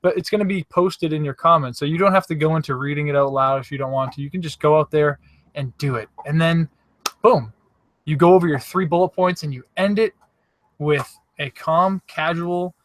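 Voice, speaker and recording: A man's voice: fairly high pitch, a little fast, fairly bright tone, dry recording. A man hosting a live show, coaching viewers on making videos in an upbeat, encouraging way and speaking straight to them. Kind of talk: casual motivational coaching talk. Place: campsite.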